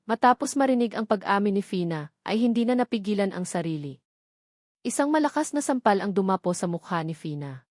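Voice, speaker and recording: Filipino woman reading a dramatic story audiobook, mid pitch, really dry sound. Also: a little mellow, no room sound. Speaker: audiobook narrator. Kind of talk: dramatic scripted story narration.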